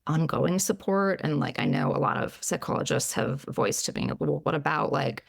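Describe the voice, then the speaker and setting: A woman's voice: low-pitched, lively intonation, normal speed, gravelly, no room sound, studio quality. A woman psychologist in her thirties, a podcast guest, talking in a chatty, casual way full of "like" and "you know". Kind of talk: casual interview conversation.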